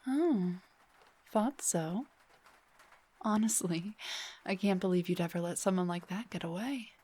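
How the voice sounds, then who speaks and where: A woman playing a playful, teasing young rock singer in an intimate roleplay audio drama, speaking softly and breathily, often near a whisper. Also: lowish voice, slightly rough.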